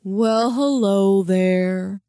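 Woman voicing a bashful, mopey, slow hound dog. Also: medium pitch, animated, no echo, studio quality. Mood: sad. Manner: bashful, folksy, apologetic. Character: mopey, sweet-natured male farm hound dog. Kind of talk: children's storybook character voice.

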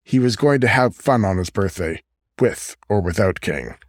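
A man's voice: on the low side, animated, moderate pace, no echo, studio quality. A man reading a scripted fiction story aloud as an audiobook narrator.

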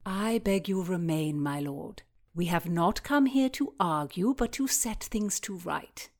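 A woman's voice: on the low side, animated, measured pace. Noblewoman, voiced by a woman narrator for period audiobook, commanding, dry wit, formal delivery. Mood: conciliatory. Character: resolute, sharp-witted noblewoman and peacemaker. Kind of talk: dramatized historical fiction reading.

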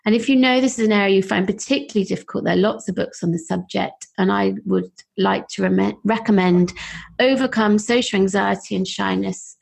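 Gravelly, breathy woman podcast host. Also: medium pitch. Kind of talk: podcast hosting and advice.

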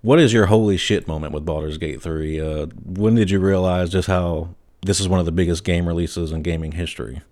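A man's voice: low-pitched, fairly even intonation, brisk, few pauses, a little mellow. A man asking casual interview questions as a podcast host.

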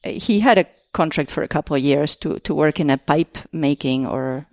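A woman with an Argentine Spanish accent speaks in a low voice, recalling things in an unhurried interview chat. She hesitates and restarts her sentences often, with many fillers. The recording is dry and close. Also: dry recording. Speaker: artist guest. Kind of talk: casual interview conversation.

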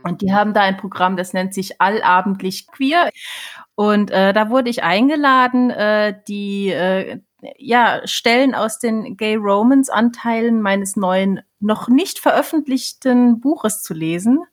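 A woman's voice: medium pitch, unhurried. A woman co-hosting a podcast in casual chat, often searching for words. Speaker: podcast co-host.

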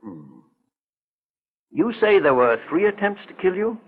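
An actor playing a calm, politely skeptical gentleman investigator, asking measured questions in a 1940s radio mystery. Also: sits high, husky, full character voice. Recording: muffled, tinny 1940s radio recording.

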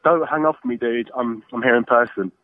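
Male caller, Birmingham accent, mid-pitched, phone-line audio. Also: brisk, no echo. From England. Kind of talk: casual phone chat.

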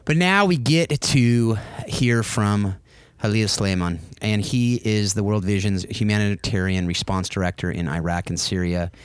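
A man's voice: lowish voice, moderate pace, clear, resonant tone, no room sound. An American man speaking conversationally as a podcast host, in a chatty, wordy way. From United States. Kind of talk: conversational interview.

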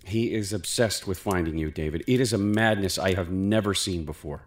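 A man voicing a loyal young prince in a storybook reading, speaking with a gentle, earnest and reassuring manner. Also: low-pitched, moderate pace, lightly acted. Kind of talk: storybook character voice.

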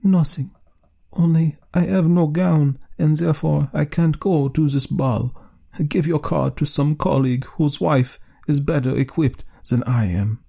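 A man's voice: on the high side, a little slow, vocal fry, warm tone, husky, pitched above the performer's own voice, exaggerated voice. A man voicing a discontented young wife who longs for luxury, in a raised, plaintive voice. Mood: tearful.

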